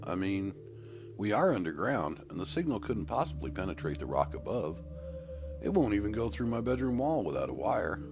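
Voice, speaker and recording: A man's voice: on the low side, sing-song, normal speed, vocal fry, over music, voice pushed up. A man voicing an anxious, polite schoolboy in a narrated story, earnest and deferential. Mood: polite, weary.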